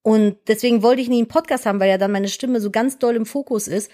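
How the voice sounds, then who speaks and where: A young adult woman with a deep voice and a slight lisp, co-hosting a podcast in casual, candid chat. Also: fast, dark tone. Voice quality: self-described deep voice with a lisp. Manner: chatty, candid, frequent fillers like "ne?". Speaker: podcast co-host.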